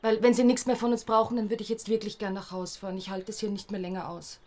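A woman's voice: mid-range voice, flat delivery, quick pace, a little mellow, lightly acted. An actress playing a worried, impatient, bossy girlfriend in a radio drama.

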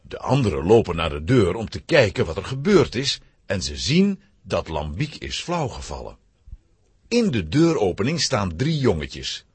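A man narrates a scripted children's story with dramatic flair. His husky voice moves in lively swoops and sounds dry and close. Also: on the low side, no room sound.

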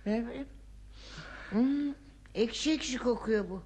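An actress playing a nagging, fretful older wife in a radio play, exclaiming melodramatically and full of suspicion. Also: female voice, on the high side, takes it slowly, a little mellow, breathy edge. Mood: scolding. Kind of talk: radio theater acting.

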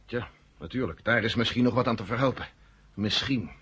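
A man's voice: medium pitch, fairly quick, a bit bright, husky. An actor playing a grave, proud male military general in a 1970 radio play, speaking in a formal, weighty manner. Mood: troubled.

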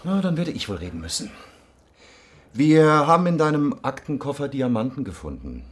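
An actor playing a hard-nosed police investigator in a radio crime drama, sardonic, commanding and cutting. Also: male voice, mid-range voice, very animated, moderate pace, slight background noise, close to an everyday voice.

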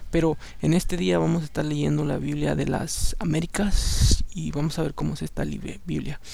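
A man's voice: on the high side, vocal fry, a little mellow. A man whose first language is Spanish, tired late at night, reading scripture aloud as podcast host with conversational asides and English words slipped in. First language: Spanish, English second. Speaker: podcast host and Bible reader.

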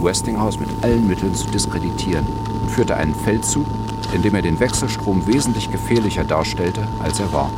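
A man reading scripted narration as a narrator in an audio drama. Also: deep voice. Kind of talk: scripted narration over music. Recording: loud music under the voice. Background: prominent music.

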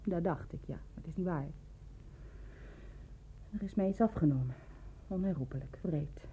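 A woman in a radio drama playing a lonely, bereaved woman, coolly probing and insinuating in measured, clipped phrases. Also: fairly low pitch, a little hiss or hum, natural voice. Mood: sorrowful, quiet. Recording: narrow, dull lo-fi radio play recording.

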